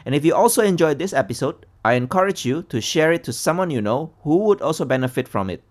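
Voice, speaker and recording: A man's voice: medium pitch, dry recording. A man hosting a tech podcast, giving scripted intros and sponsor reads in an upbeat way. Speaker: podcast host.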